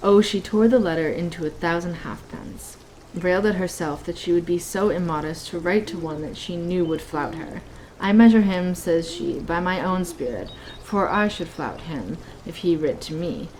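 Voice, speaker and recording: A woman plays an old nobleman in Shakespearean radio theatre, a solemn father who loves to gossip. The voice sits rather low for a woman. The home recording carries slight noise. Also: moderate pace, darkish tone, lightly acted, poor audio. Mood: earnest gossiping.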